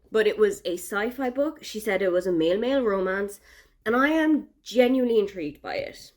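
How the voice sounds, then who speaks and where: A young Irish woman with an Irish accent, chatting casually and enthusiastically in a book vlog diary. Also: medium pitch. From Ireland. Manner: chatty, enthusiastic, thinking aloud. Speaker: book vlogger.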